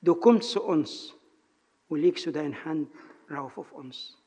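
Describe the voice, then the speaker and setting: A man with a non-native German accent preaches with earnest, halting phrasing. His high, husky voice moves slowly through the sermon and the prayer. The sound rings in a reverberant church hall and comes through dull and lo-fi. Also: warm tone. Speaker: lay preacher. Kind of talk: sermon with personal story and prayer.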